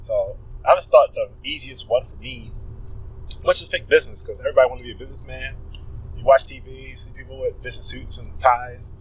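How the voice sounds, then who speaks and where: A man, a guest telling his story in casual conversation and acting out his past thoughts with a quick laugh. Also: medium pitch, lo-fi sound, a little hiss or hum. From Philadelphia, USA.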